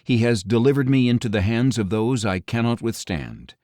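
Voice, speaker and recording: A man reading scripture as an audiobook narrator in a solemn, grave, measured delivery with deliberate pauses. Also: medium pitch, animated, a little mellow, no echo, clean studio sound.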